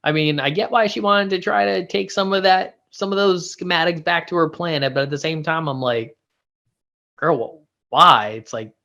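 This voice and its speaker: A man's voice: fairly high pitch, moderate pace, vocal fry, sharp tone. A man chatting in an informal, slangy way as a guest discussing a TV show. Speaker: guest co-reviewer. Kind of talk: casual chat, informal TV discussion.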